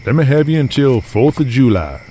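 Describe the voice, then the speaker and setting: A man's voice: medium pitch, lively intonation, brisk, mellow, a little hiss or hum, pitched above the performer's own voice, lightly acted. Man as a confident outlaw wrestling boss. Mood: scheming. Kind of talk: audio drama character acting.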